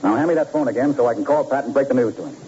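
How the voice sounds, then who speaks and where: An actor playing a brisk, determined, no-nonsense police detective in an old radio drama. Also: male voice, mid-range voice, lightly acted. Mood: resolute, wry. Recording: muffled old radio recording, faint noise.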